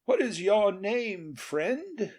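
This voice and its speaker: A courteous, regal storybook king as a male narrator plays him, speaking in formal, stately phrases. His pitch sits high and swings widely, with a good-humoured, noble temper. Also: sing-song, very slow, darkish tone, heavily acted.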